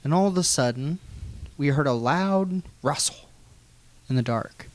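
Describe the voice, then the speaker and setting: An American man telling a funny personal story in an animated, folksy way with some swearing. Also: on the high side, a little slow, no room sound. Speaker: guest storyteller.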